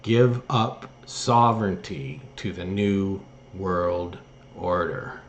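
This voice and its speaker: A man giving political opinion commentary as host, speaking in a halting, hesitant way with phrases that trail off. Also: low voice, muffled recording, a little hiss or hum. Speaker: host of an online political news commentary show. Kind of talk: political opinion commentary, partly read from articles.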